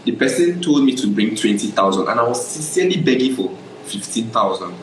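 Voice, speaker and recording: A man's voice: mid-range voice, fairly quick, a little hiss or hum. Nigerian man telling his story, echoey. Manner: animated storytelling, often asks "do you understand?". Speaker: guest photographer. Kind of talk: casual interview.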